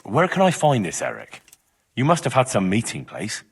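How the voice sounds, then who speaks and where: A man's voice: medium pitch, huge pitch swings, normal speed, slightly rough, full character voice. An actor playing an earnest young village lad in a video game, speaking in a plain, questioning way as he investigates. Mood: probing. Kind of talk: dramatic video game dialogue.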